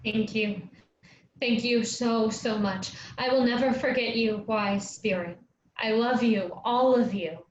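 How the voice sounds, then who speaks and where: A woman's voice: on the high side, a little slow, close to an everyday voice. A woman playing an earnest, reverent young girl learning from forest spirits in a staged play reading. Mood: humble, grateful. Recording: roomy, dull, noisy lo-fi recording.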